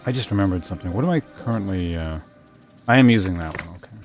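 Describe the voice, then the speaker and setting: A man with a fairly low voice streams a video game, talking casually as he goes. He thinks aloud and mutters questions to himself. Faint-to-clear game music plays behind him. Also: animated, fast, darkish tone. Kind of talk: casual live game commentary.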